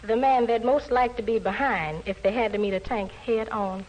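A woman's voice: a little high, moderate pace, muffled recording. A woman, a musician guest, delivering scripted banter in a 1940s radio style. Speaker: band trumpet player, show guest. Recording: noisy, distant vintage 1940s radio recording.

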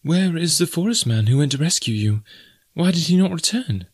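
A man voicing a gentle, earnest young woman. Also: on the high side, lively intonation, moderate pace, slightly rough, no echo, studio quality, voice pushed up. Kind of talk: audiobook reading.

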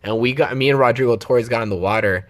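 A man's voice: medium pitch, fast talker. Joking, profane young man chatting. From Los Angeles area. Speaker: vlogger. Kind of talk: casual chat.

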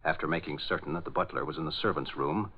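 A man's voice: rumbling low, fast, breathy edge. A man narrating a radio serial in a dramatic 1940s style. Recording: muffled old radio recording. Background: music.

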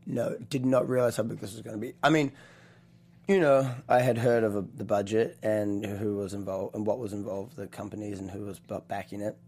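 A man's voice: lowish voice, brisk. Chatty New Zealand man. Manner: animated storyteller, laughs often, many restarts. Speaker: choreographer guest. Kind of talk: casual interview chat.

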